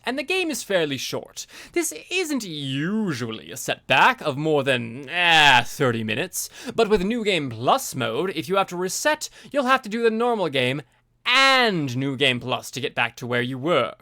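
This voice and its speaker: This is a streamer with a bright voice and a lively, swinging pitch. The delivery mixes reading aloud with casual live commentary, often interjecting informal, sweary asides.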